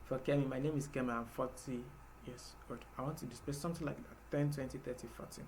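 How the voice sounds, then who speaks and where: A man's voice: medium pitch, fairly even intonation, fast, darkish tone, slight background noise. A man teaching a live programming class, explaining patiently step by step with fillers. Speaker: programming instructor.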